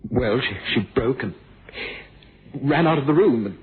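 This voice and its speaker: A halting, troubled young man. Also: mid-range voice, lively intonation, slightly rough. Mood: subdued, pained. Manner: halting, earnest, repeating words. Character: troubled, wounded young man with violent past. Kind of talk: suspense radio drama acting. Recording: noisy phone-like vintage radio recording.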